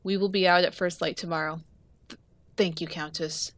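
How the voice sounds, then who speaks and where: A woman's voice: on the low side, fairly even intonation, moderate pace, no echo, natural voice. A woman playing an earnest, wary monster hunter in a fantasy audio drama, guarded and respectful in manner.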